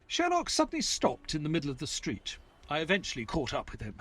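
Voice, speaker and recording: A man's voice: on the high side, huge pitch swings, fairly bright tone, full character voice. An actor playing an educated, polite male doctor who narrates a detective tale in descriptive, scripted prose. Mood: measured, sombre. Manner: measured, descriptive storytelling, courteous in dialogue. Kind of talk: scripted narration and audio drama acting.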